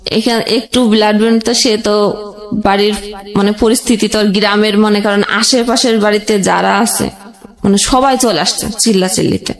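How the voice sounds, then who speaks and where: A young woman with a Bangladeshi accent telling her own life story conversationally, in a halting, plain way with frequent rephrasing. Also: medium pitch, steady tone. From Jashore region, Bangladesh. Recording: roomy echoing sound.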